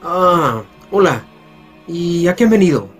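An actor playing a stern, stubborn husband in a radio soap. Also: male voice, high-pitched, very animated, slow pace, soft background music, full character voice. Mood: suspicious. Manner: blunt, forceful. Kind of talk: radio soap opera acting.

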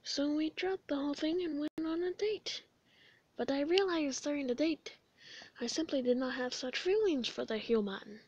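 A woman voicing an earnest, caring, excitable male skeleton in an amateur comic dub, dramatic and formally phrased. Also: high voice, normal speed, lightly acted. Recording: dull, echoey room recording.

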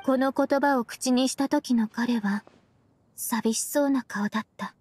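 A woman voicing an aloof young woman in a game, reserved, solemn and earnest, with a slightly husky voice. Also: high-pitched, unhurried. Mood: puzzled, grave. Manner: reserved, literal, flatly earnest. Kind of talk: restrained game voice acting.

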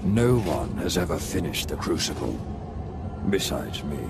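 A man playing a menacing figure who calmly explains the rules of a deadly contest, speaking in a measured, ominous way. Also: a bass voice, vocal fry, exaggerated voice. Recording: film clip with loud music.

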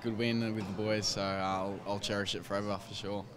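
A young Australian footballer with a fairly low voice answers questions, hesitant and full of fillers and restarts. He speaks into a handheld mic, with crowd noise behind him. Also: flat delivery, brisk. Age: young adult. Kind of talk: post-match interview. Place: team rooms by a sponsor wall, crowd noise behind. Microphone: handheld microphone held by interviewer.

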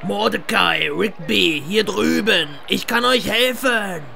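Man voicing a creaky old yeti mentor. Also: sits high, slow, bright, forceful tone, soft background music, full character voice. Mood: earnest. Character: wise, helpful older male cartoon yeti mentor. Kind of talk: German fan-dub cartoon acting.

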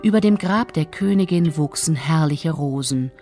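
A woman's voice: sits low, normal speed, a little mellow, soft background music. A woman reading scripted fairy-tale narration as the storyteller.

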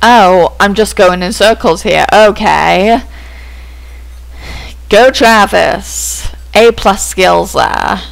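Casual let's play host, a man; unhurried, self-deprecating, voice breaking, gravelly fry. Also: high-pitched, fairly bright tone, low-quality recording. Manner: chatty, self-mocking jokes. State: voice cracking from hormone change. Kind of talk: casual gameplay commentary.

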